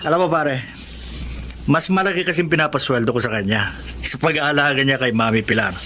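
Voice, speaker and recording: An actor playing a scheming, boastful heir and boyfriend in a Filipino radio drama, with a Filipino accent. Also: male voice, medium pitch, a little fast, bright, forceful tone, a little hiss or hum, natural voice. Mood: smug, greedy. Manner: boastful, smug. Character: scheming, cocky adult son and boyfriend, greedy heir.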